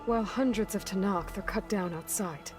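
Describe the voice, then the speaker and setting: A woman's voice: medium pitch, moderate pace, over music, close to an everyday voice. An actress playing a guarded, skeptical young warrior woman in a video game, blunt and questioning in her delivery. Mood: skeptical, probing. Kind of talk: naturalistic video game cutscene acting.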